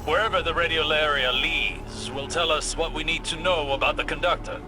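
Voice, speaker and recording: A man voicing a calm male commander who briefs in a measured, formal tone, in video game dialogue. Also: a little high, natural voice. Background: game score music.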